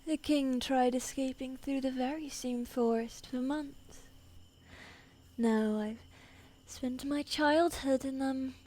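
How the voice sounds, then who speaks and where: A woman acts out a young queen in a fantasy audio drama. Her voice sits a little high, and she speaks with imperious intensity. She is fierce, commanding and protective. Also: steady tone, takes it slowly, darkish tone. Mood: confident, challenging. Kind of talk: fantasy audio roleplay acting.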